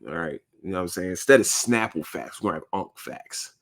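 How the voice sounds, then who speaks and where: A man in his thirties hosting a podcast, talking casually and jokingly in a rambling, animated way. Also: sits low, a little fast. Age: 30s. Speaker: podcast host. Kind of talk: casual solo podcast monologue.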